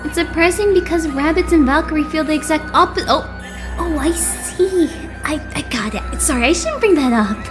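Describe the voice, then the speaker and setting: A woman voicing an excitable young male idol fanboy in an anime game fan dub, gushing and dramatic. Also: high voice, normal speed, over music.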